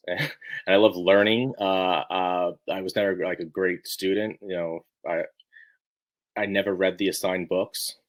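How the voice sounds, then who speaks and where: Deep-voiced man, halting and rambling. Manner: halting, rambling, restarts sentences often. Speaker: book editor being interviewed. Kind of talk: casual interview chat.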